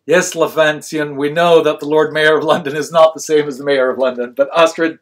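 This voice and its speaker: A man's voice: a little high, fairly even intonation, a little fast. Chatty man with a Euro-American accent. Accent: mixed European-American. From Sweden and France. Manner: chatty, jumbled phrasing. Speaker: host. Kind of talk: live-stream panel chat. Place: small studio with a world map.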